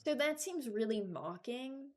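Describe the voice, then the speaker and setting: Casual woman thinking aloud, halting. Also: a little high, lively intonation, background noise. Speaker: video creator. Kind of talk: casual commentary, ranking game voice lines.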